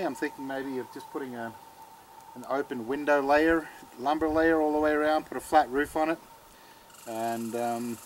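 A middle-aged Australian man giving a rambling, relaxed walking tour outdoors in the woods, full of fillers and restarts. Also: on the high side, a little hiss or hum, poor audio. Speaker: channel host. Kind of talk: casual walking tour vlog. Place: outdoors in rural woodland.

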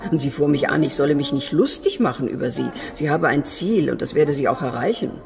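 A woman's voice: deep voice, lively intonation, a little fast, vocal fry, music in the background. A woman playing a worldly, wry, self-assured model agency boss in a German radio play, chatty and ironic. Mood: amused recounting.

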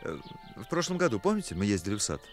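An actor playing an awkward, fastidious, earnest young musician in a radio play, hesitant and polite. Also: male voice, fairly low pitch, very animated, faint music behind. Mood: disgusted. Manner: hesitant, polite, easily indignant.

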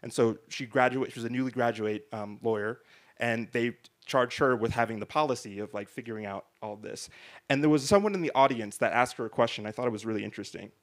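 A man's voice: mid-range voice, quick pace. A man moderating a panel discussion on stage in front of an audience, talking into a handheld mic in a conversational way, often asking "right?" and restarting his sentences. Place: large hall stage before an audience.

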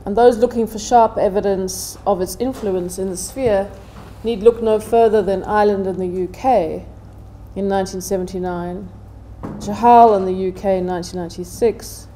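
A middle-aged woman delivering a prepared seminar talk as a panelist, with measured, deliberate phrasing. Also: mid-range voice, fairly even intonation, mellow, slight background noise. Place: indoor seminar room, seated at table.

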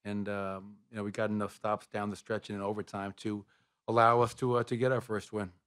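A middle-aged man, a basketball head coach, answering reporters' questions at a postgame press conference in a press room, speaking into a table microphone with measured answers and frequent fillers. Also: on the low side, little pitch movement, fairly bright tone, clear, resonant tone. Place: press room in front of a sponsor backdrop.

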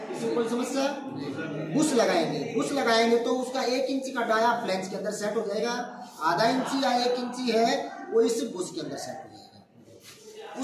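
A man's voice: very high voice, far from the mic. A man in his forties teaching a hands-on workshop lesson, explaining step by step and often asking the students if they understood. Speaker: workshop instructor. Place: crowded indoor workshop, students standing around. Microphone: far phone camera. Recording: echoey room, voice from afar, crowd noise.

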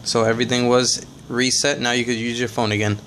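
Relaxed man walking through a tutorial. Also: mid-range voice, fairly quick, slight background noise. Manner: relaxed, plain-spoken, frequent "uh" and "all right". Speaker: tech tutorial host. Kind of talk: casual step-by-step tutorial. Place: indoors at a table, off camera.

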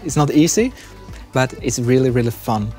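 A man's voice: mid-range voice, moderate pace. A young man from Sweden with a Swedish accent, speaking unscripted as a business student in an interview. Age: young adult. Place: busy classroom studio, indoors. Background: soft background music.

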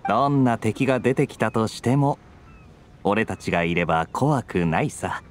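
A man's voice: fairly low pitch, lively intonation, moderate pace, a little hiss or hum, natural voice. A man voicing a confident, easygoing young fighter in a Japanese anime game, with an assured casual manner.

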